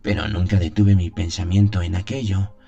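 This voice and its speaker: Man acting a deep, dark-voiced devout priest. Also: flat delivery, muffled recording, natural voice. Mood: solemn, fervent. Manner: solemn, literary, measured confessional delivery. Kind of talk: dramatized first-person gothic story narration. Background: music.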